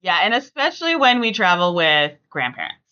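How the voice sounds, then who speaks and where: A woman's voice: on the low side, big pitch swings, fairly quick, pushed and bright, clear, resonant tone, lo-fi sound. A middle-aged woman hosting a podcast, chatting casually and emphatically with family. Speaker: podcast host.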